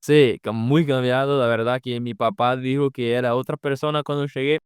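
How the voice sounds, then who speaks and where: A man's voice: medium pitch, fairly even intonation, moderate pace, clean tone, no echo, studio quality. A young man from Brazil with a Brazilian Portuguese accent, a Portuguese native speaker answering interview questions in Spanish, hesitant and searching for words. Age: young adult. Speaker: podcast guest, former exchange student.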